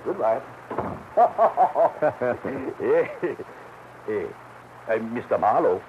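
An actor playing an excitable, kindly butcher who fusses like a judge and matchmaker in a classic radio drama. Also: male voice, medium pitch, drawn-out words. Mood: delighted matchmaking. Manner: animated, fussy, mock-judicial. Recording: muffled noisy vintage radio recording.

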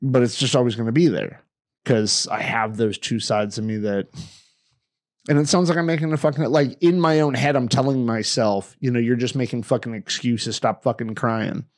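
A man's voice: medium pitch, steady tone, moderate pace. Young guy cohosting a podcast, cusses nonstop, gets worked up and rambles, voice sits mid-range. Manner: emotional, heavy swearing, rambling restarts. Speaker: podcast co-host. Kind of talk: casual podcast chat.

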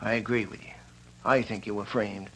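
An actor playing an earnest, fair-minded prison warden in an old Western radio drama, speaking in a deliberate, official way. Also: male voice, lowish voice, level tone, sharp tone, husky, full character voice. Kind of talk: 1940s-1950s Western radio drama acting. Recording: dull lo-fi old radio recording.

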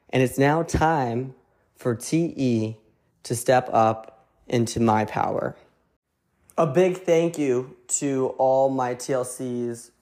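A man with a Connecticut accent, in his thirties, pumping listeners up in a motivational talk. His delivery is emphatic and animated, and his voice sits at an everyday middle pitch. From Connecticut, USA. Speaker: podcast host. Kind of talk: motivational monologue.

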